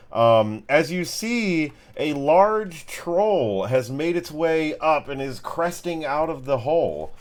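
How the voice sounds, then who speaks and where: A male game master improvises narration in an informal, halting way. His medium-pitched voice moves up and down a lot as he describes scenes and rules. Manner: informal, halting, searching for words.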